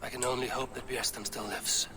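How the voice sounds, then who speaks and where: An actor playing a stoic, blunt Viking warrior with a measured manner in a video game. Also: male voice, mid-range voice, fairly even intonation, natural voice. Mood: grave. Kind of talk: video game cutscene acting. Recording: clear background noise.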